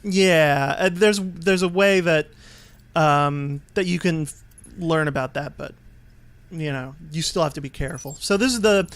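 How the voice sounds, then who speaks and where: A man hosts a casual gameplay commentary. His voice is fairly high and very clear, recorded close and dry. He explains things in a halting, conversational way. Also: a bit bright, no echo. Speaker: let's play host and player.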